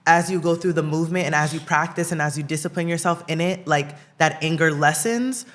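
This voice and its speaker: A woman's voice: deep voice, fairly bright tone. A woman hosting a podcast, chatting casually and thinking aloud as she puts probing questions to her guest. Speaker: podcast host interviewer. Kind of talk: casual interview chat.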